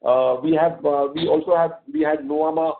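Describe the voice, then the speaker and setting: A man's voice: fairly high pitch, fairly even intonation, a little fast. A courteous man with an Indian accent, answering investor questions as a company executive on an earnings call, explaining off the cuff and repeating words for emphasis. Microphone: conference call line. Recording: dull lo-fi conference call line.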